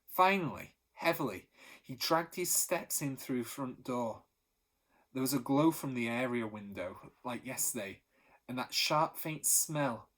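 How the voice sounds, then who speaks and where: A teacher reading a children's novel aloud with expressive storytelling. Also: male voice, medium pitch, a little slow.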